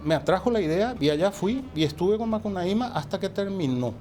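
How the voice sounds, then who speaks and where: This is an older man from Paraguay, a musician whose first language was Portuguese, speaking with a voice a little higher than most men. He reminisces slowly and reflectively in a relaxed interview. Faint music plays underneath. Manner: reflective, unhurried storytelling with many "eh" pauses. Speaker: musician and guest.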